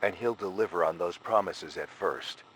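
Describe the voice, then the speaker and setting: Horror role: frightened scholar male performer, low, tense delivery, ominous and urgent, final-warning monologue. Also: a bit bright, slight background noise, low-quality recording. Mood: grave. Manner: grave, ominous, urgent, deliberate phrasing. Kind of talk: analog horror scripted monologue.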